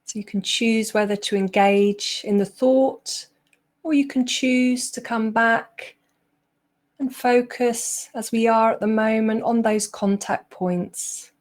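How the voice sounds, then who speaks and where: A woman guiding a meditation as a teacher, speaking gently and leaving long pauses. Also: a little high, steady tone. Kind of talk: guided meditation.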